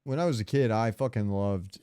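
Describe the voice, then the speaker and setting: A man's voice: fairly low pitch, brisk, warm tone, smooth, no room sound, clean studio sound. A man co-hosting a gaming podcast in casual, profane chat. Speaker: podcast co-host.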